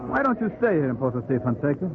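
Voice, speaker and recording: Proud guarded trader, curt, swinging pitch, thin phone-like old radio sound. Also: male voice, very animated, fast. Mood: goading. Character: proud, guarded colonial trader nursing a hatred. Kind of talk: 1940s radio acting. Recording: noisy, thin 1940s radio recording.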